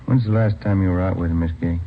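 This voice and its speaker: A man's voice: low-pitched, fairly even intonation, fast talker. A man playing a laconic, easygoing police detective partner in a 1950s radio crime drama. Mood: even, inquiring. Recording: tinny old radio, faint noise.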